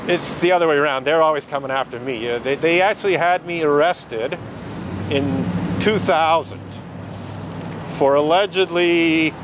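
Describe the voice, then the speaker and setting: A man protesting outdoors, talking in an animated, indignant way and laughing often. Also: a little high, moderate pace. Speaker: street protester. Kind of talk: casual outdoor conversation. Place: outdoors on a city street. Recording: outdoor traffic noise.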